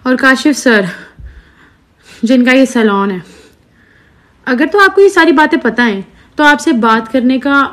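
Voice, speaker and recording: A young woman, talking earnestly and indignantly to her followers into a phone held at arm's length indoors. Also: high voice. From Pakistan. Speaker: social media creator. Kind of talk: selfie video complaint to followers.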